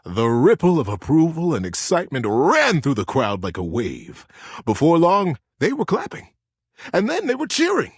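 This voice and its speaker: Video game teddy bear, male, gentle bedtime storyteller, hugely varied intonation, dry close sound, dramatic pauses. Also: a little high, wildly swooping pitch, creaky, breathy edge, no room sound, full character voice. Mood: tender narrating.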